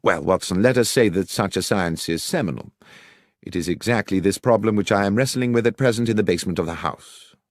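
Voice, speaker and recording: A man's voice: lowish voice, fairly quick, no room sound, studio quality, natural voice. A man voicing a brilliant, commanding detective in an audiobook, with a British accent and precise, formal, authoritative manner. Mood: grave, commanding. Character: brilliant, commanding male detective, brisk and grave.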